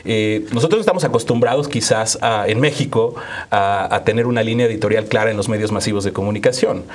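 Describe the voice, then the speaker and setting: Mexican male panel guest, thirties, fairly low voice, conversational commentary with halting phrasing. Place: small dark quiet studio, seated at table.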